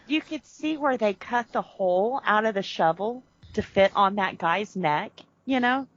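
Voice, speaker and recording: A woman co-hosting a podcast, chatting casually about a movie, animated and quick to laugh. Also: mid-range voice. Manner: animated, laughs often, acts out quotes. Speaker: podcast co-host. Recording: muffled lo-fi audio.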